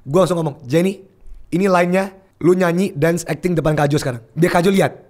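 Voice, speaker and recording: A young man chatting casually as a podcast guest, telling an animated story and mixing English phrases into his speech. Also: a little high, fairly quick. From Indonesia.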